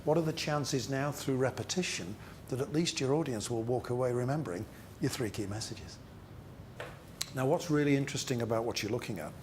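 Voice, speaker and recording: A man leading a business training seminar, speaking emphatically with rhetorical questions and deliberate repetition. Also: mid-range voice, darkish tone, slight background noise. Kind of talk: interactive training seminar talk to a group.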